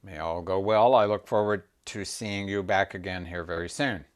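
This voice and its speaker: A man acts out a calm male god from a myth, speaking to his wife in a low, smooth voice. He is soft-spoken and placating, hesitating as he tries to keep the peace. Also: normal speed, close to an everyday voice.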